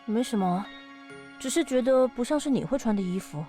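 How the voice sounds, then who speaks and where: A woman's voice: a little high, expressive, brisk, mellow, close to an everyday voice. A woman playing a mysterious ancient being of the lake in an audio drama, casual and teasing with a wistful side. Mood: nonchalant. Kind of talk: audio drama dialogue acting. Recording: studio voice over background music.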